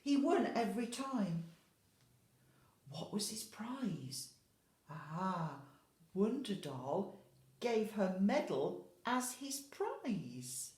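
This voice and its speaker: A woman's voice: medium pitch, very animated, very slow, pauses often, darkish tone. A woman teacher reading a picture book expressively to young children, addressing them directly with questions and asides. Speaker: infant school teacher reading a story. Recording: roomy, echoey indoor sound.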